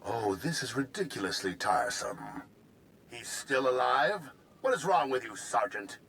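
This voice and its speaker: An actor playing an impatient, disdainful villainous schemer in a video game, speaking with weary contempt. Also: male voice, mid-range voice, big pitch swings, moderate pace, fairly bright tone, slight background noise.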